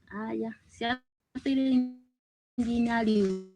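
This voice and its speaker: A woman in a casual recorded conversation, complaining quickly. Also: on the high side, music in the background.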